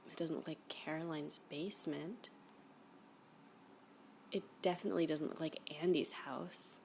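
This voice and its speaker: A woman playing a flirty, obsessive stalker in an ASMR roleplay, breathy and often whispering, with a coy, smitten manner. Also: sits low, a little mellow, slight background noise. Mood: puzzled. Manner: coy, slow, unhurried, with giggly asides.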